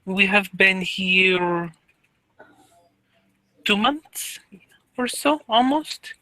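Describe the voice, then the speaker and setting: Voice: medium pitch, animated, extremely slow, bright, forceful tone, dry recording, full character voice, low-quality recording. A player voicing a young female creature with a tail who speaks stiffly and formally, without contractions. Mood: curious.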